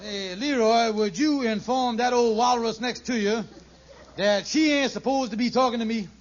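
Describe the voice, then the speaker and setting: An actor playing a scheming, put-upon husband in a 1940s radio sitcom, with folksy dialect and exasperated bluster. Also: male voice, very high-pitched, moderate pace. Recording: old muffled radio recording, noisy studio audience.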